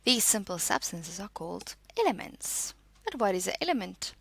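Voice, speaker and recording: A woman teaches a school science lesson in a bright voice with lively, varied intonation. She reads and explains in an instructional way, posing questions and answering them, with a creaky edge in a close, dry sound. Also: mid-range voice, moderate pace, no echo. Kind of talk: school lesson, reading notes and explaining exercises.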